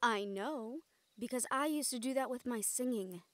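A woman's voice: a little high, moderate pace, natural voice. A woman playing an exasperated but caring siren in an audio roleplay, earnest and sighing, with Spanish endearments. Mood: concerned, earnest. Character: female siren-banshee, exasperated but caring partner and team mate.

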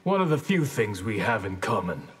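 An actor playing a stern, honour-bound ninja grandmaster in a video game, with a husky voice and formal, solemn manner. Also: male voice, lowish voice, very animated, moderate pace, a bit bright, heavily acted. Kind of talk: video game fight intro dialogue.

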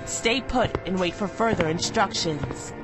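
A woman voices a cool female inspector in an animated thriller. Her delivery is slow and on the low side, calm, curt and commanding, with music behind her. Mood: cool, resolute.

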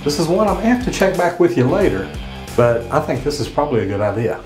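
A man's voice: a little high, very animated, fast, over loud music. A man, a plumber casually reacting to and trying out home hacks in a plainspoken, practical way. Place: studio set and a small restroom. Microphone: boom mic on desk and lapel mic.

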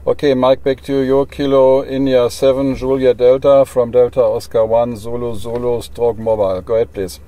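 A man with a German accent works as an amateur radio operator. His voice is mellow and stays very even in pitch. He speaks courteously, with a halting delivery and phonetic spellings. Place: inside a parked car.

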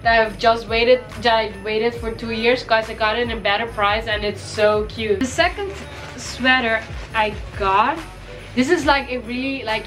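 A woman's voice: on the high side, poor audio. Chatty young woman with a cold. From Caribbean. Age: young adult. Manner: chatty and upbeat, gushing. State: has a cold, voice may crack. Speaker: fashion vlogger. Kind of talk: casual shopping haul vlog. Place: bedroom, indoors.

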